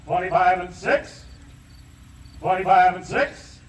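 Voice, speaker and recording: High, fast-chanting auctioneer man. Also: muffled recording. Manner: rapid rhythmic bid-calling chant. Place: outdoors at an estate auction. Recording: roomy, distant, light noise.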